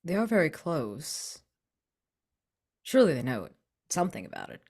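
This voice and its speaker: Woman doing a protective wry adventurer in a tabletop game, lowish voice that swings around a lot. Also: huge pitch swings, normal speed, a little mellow, heavily acted. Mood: wry concern. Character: protective, droll adult travelling companion.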